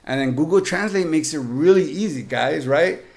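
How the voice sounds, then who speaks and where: A man's voice: a little high, lively intonation, moderate pace, gravelly. A man with a Mexican accent, a podcast guest giving upbeat, joking tips straight to the listeners. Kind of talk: casual podcast chat.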